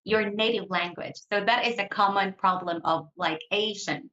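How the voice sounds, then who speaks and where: A woman's voice: mid-range voice, moderate pace, sharp tone. A Filipino woman, an English teacher and former team leader, explaining her views at length in an earnest, conversational way. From Philippines. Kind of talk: conversational interview. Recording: roomy, muffled sound.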